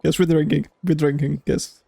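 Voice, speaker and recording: A woman's voice: deep voice, fairly even intonation, normal speed, vocal fry, warm tone, breathy edge, no echo. A woman giving casual let's-play commentary as she streams a video game.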